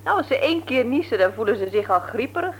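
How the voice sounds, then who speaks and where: An actress in a Dutch radio play, playing a brisk, teasing, no-nonsense nurse married to a man she likes to mock. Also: fairly high pitch, expressive, natural voice. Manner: brisk, mocking, matter-of-fact. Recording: noisy old radio recording with hum.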